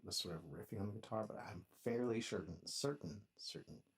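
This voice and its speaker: A man's voice: lowish voice, a little fast, husky. A man working out a song in his studio, talking casually and thinking aloud with a self-deprecating, rambling manner. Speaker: songwriter and producer.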